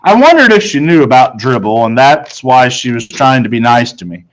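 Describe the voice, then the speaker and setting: A man's voice: medium pitch, animated, moderate pace, low-quality recording. A man narrating a children's novel aloud in the first person, as a storybook narrator.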